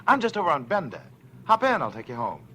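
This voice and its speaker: An actor playing a smooth, predatory middle-aged man with insinuating talk in a 1940s radio suspense drama. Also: on the high side, wildly swooping pitch, quick pace, fairly bright tone. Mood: folksy, luring. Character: smooth, predatory male stranger, around forty, secretly a strangler. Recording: noisy vintage radio recording.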